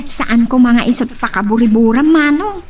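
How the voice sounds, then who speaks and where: A woman's voice: a little high, expressive, measured pace, darkish tone. A woman playing an inquisitive young sister in an audio drama, with an Ilocano accent. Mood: puzzled, concerned. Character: young, inquisitive younger sister questioning her big brother. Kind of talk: Ilocano radio-style drama acting. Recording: distant mic with music behind.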